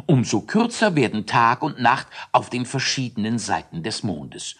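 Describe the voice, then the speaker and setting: A man doing a high, pedantic know-it-all. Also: exaggerated voice. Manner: pedantic, explaining. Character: learned male companion, lecturing know-it-all.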